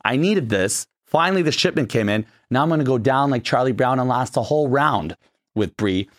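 A man's voice: mid-range voice, expressive, brisk. A man hosting a podcast, joking crudely and reading an ad in casual chat. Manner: brash, joking, crude banter. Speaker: podcast host.

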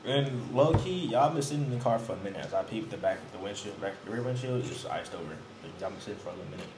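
A man's voice: lowish voice, animated, fast talker. A man of nineteen co-hosting a podcast, chatting loosely in slang and laughing often. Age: young adult. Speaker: podcast co-host. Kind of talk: casual chat. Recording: echoey room with background noise.

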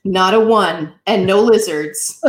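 A woman in her thirties from the northeastern United States, telling her story as an interview guest, animated and laughing often. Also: mid-range voice, slow pace. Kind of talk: conversational interview. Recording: echoey room.

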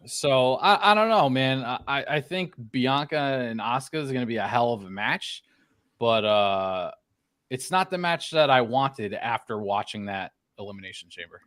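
A man in casual sports podcast chat, talking in a halting way and often repeating his words. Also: mid-range voice, animated, a bit bright, no echo, clean studio sound. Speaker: wrestling podcast panelist.

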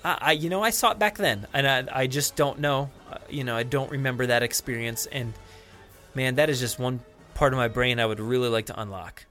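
A man in his thirties hosting a podcast, talking in an excited, rambling way with lots of fillers and restarts. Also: mid-range voice, a little fast, faint music behind. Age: 30s. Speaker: podcast host. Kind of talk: casual podcast chat.

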